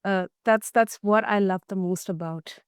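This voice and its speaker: Smooth-voiced woman podcast host. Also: mid-range voice, fairly even intonation, moderate pace, no room sound, studio quality. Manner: affirming, enthusiastic. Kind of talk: casual interview talk. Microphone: video call.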